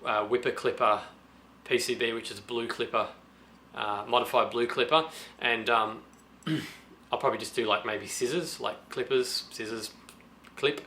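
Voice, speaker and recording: A slightly hoarse man with a sore throat, casually thinking aloud through a do-it-yourself how-to demonstration with many restarts. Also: mid-range voice, steady tone, normal speed, bright, forceful tone, slight background noise. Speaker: DIY guitar pedal vlogger.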